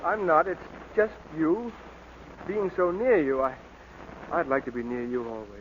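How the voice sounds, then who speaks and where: Man acting naive young male scientist, American, bashful, hesitant, earnest, higher-than-average pitch, vintage radio drama, muffled broadcast with faint noise. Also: moderate pace, lightly acted. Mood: shy, smitten.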